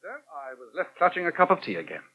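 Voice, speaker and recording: A man's voice: fairly high pitch, big pitch swings, quick pace, husky, narrow, tinny audio. An actor playing a wry, articulate middle-aged English newspaper editor in a 1980 British radio soap, drily teasing as he talks. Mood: wry, satisfied. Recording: thin, band-limited old radio recording.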